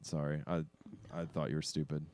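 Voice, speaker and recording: A man's voice: a bass voice, level tone, brisk, a little mellow. A man co-hosting a live show, chatting casually as he plays a video game. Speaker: co-host playing the video game. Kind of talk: casual live gameplay chat.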